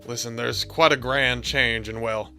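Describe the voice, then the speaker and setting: Man acting a gravelly folksy sheriff. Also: mid-range voice, fairly even intonation, unhurried, sharp tone. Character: folksy, stern, principled small-town sheriff. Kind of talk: audio drama acting. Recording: music bed behind the voice.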